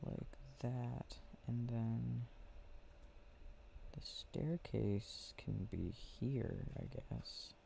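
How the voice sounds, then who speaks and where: Young male ASMR boyfriend, intimate and casual, mellow with a gravelly fry, faint noise and clicking behind. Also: mid-range voice. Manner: intimate, unhurried, murmuring to a listener close by. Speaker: ASMR roleplay performer. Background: clicking and game ambience.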